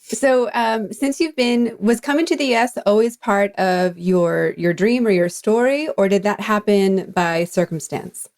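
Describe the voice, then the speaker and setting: A woman's voice: medium pitch, unhurried, a little mellow. A woman hosting and interviewing on a podcast. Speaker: podcast host.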